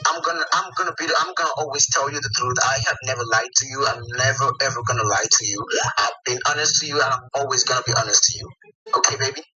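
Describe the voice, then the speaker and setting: A man's voice: mid-range voice, fast talker, sharp tone, far from the mic, faint music behind. A man with a Nigerian accent on a phone call, coaxing and pleading, calling her honey and babe over and over. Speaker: romance scammer on a phone call. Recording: muffled phone-call audio.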